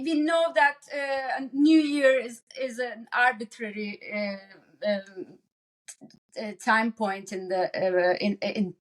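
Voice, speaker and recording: A woman with a fairly high voice and a Turkish accent speaks as an expert guest in a conversational interview. She goes haltingly, restarting phrases while she looks for the next word. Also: fairly bright tone. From Turkey. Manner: halting, searching for words, repeats phrase starts. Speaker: psychiatrist, podcast guest.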